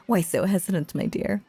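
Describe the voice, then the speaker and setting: Woman acting a silky, breathy, sinister lady magician. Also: medium pitch, warm tone, exaggerated voice. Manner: theatrical, flirtatious, silky and teasing. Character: sinister, seductive female stage magician, possessively doting. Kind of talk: intimate audio roleplay, scripted acting.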